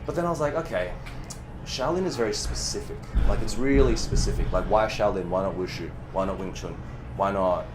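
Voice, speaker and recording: Low voiced guy, cantonese first language, rambling animated podcast chat, music going in the background. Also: brisk. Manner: animated, swears freely, many restarts. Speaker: podcast guest, movement coach. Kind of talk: casual interview talk.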